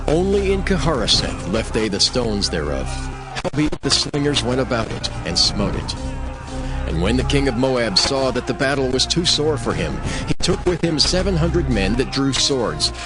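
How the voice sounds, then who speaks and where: A male narrator reads scripture as formal drama. His solemn storytelling moves widely in pitch, with music clearly audible underneath.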